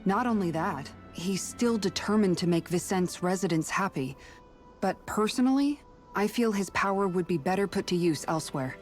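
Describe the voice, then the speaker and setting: A gentle, caring young woman from a fantasy game, as an actress voices her. She talks softly and reflectively, a little shy about her own wishes. Faint background music sits under her voice. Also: mid-range voice, expressive, moderate pace, natural voice. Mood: warm. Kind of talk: game cutscene dialogue.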